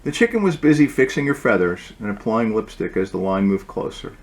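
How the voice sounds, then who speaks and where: A man reading his own storybook aloud as its author, in an informal way with occasional halting asides. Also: fairly low pitch, big pitch swings, creaky.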